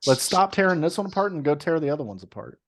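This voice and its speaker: A man's voice: fairly high pitch, sing-song, quick pace. A man co-hosting a podcast in casual chat, ranting with profanity and disbelief. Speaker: podcast co-host.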